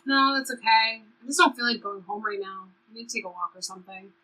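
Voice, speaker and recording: A woman's voice: a little high, fast, bright, forceful tone, poor audio. A woman playing a frustrated, sarcastic seventeen-year-old girl in an audio play, speaking emphatically and conversationally. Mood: fed up. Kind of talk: audio play reading, conversational teen dialogue.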